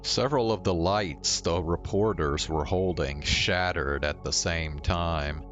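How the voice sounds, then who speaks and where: Deep-voiced man narrating a story. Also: measured pace, fairly bright tone. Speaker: streamer reading a visual novel aloud. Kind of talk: dramatic first-person story narration. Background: faint game music.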